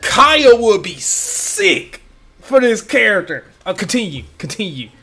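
A young man reacting to gameplay from a home room, excitable and swearing freely as he talks to his viewers. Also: high voice, unhurried, husky. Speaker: gaming YouTuber. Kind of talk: casual gameplay reaction commentary. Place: home room with gaming chair.

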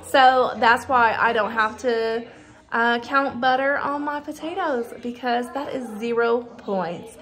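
Chatty lady in her 30s, pretty high voice, friendly vlog talk at home. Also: takes it slowly, a bit bright. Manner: chatty, friendly, frequent small laughs. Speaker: vlogger. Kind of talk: casual chat to viewers. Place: home kitchen, indoors.

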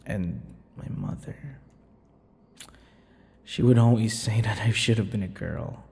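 A man voicing a possessive, centuries-old vampire in an intimate ASMR boyfriend roleplay, teasing and breathy, often dropping toward whispers. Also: fairly low pitch, slow, a little mellow, slight background noise. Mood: bitter, confiding. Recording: long reverberant echo.

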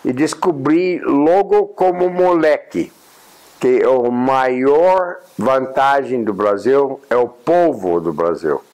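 An American man in his eighties, a native English speaker, giving an indoor interview and pausing often with "ah". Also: mid-range voice, expressive, a little mellow, poor audio. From United States. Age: 80s. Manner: unhurried, pausing often with "ah" and restarts. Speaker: interviewee, journalist and honorary consul. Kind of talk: reflective interview talk. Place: indoors, plain room.

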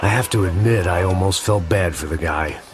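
A man's voice: low voice, brisk, heavy background noise, close to an everyday voice. An American actor playing a middle-aged, grieving ex-cop, narrating his downfall in a brooding, world-weary noir style. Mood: wry, bitter. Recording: loud music under the voice.

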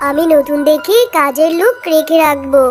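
A woman's voice: high-pitched, moderate pace, darkish tone, pitched below the performer's own voice, natural voice. A woman voicing a haughty, callous lady employer who talks in a scolding, dismissive way in a cartoon. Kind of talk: children's cartoon story dialogue. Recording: music behind the voice.

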